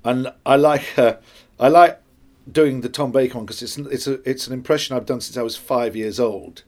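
A man's voice: medium pitch, normal speed, a little mellow. A man talking conversationally in an interview as an actor describing his audiobook work.

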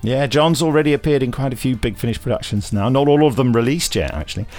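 A man's voice: mid-range voice, expressive, fast, a little mellow, faint music behind. A British man hosting a podcast, chatting casually about news in a hesitant, rambling way. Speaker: podcast host. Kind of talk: casual chat about audio drama news.

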